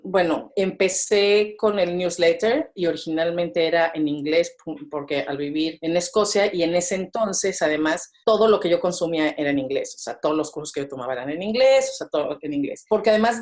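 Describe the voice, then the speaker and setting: A woman's voice: lowish voice, a little mellow. A Mexican woman with a Mexican accent, talking casually as a podcast guest, full of fillers and tag questions. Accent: Mexican Spanish. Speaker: podcast guest, business owner. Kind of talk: casual interview chat.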